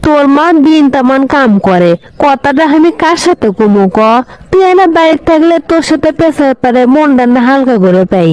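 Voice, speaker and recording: Teasing old grandmother, female actor, comedy cartoon. High-pitched, coaxing and scolding. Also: animated, normal speed, a little mellow, low-quality recording.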